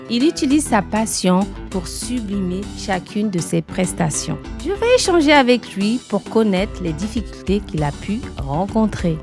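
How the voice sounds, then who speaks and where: A woman's voice: mid-range voice, a little mellow. Enthusiastic Haitian-born woman hosting. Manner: welcoming, enthusiastic, many fillers. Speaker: podcast host. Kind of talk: interview podcast.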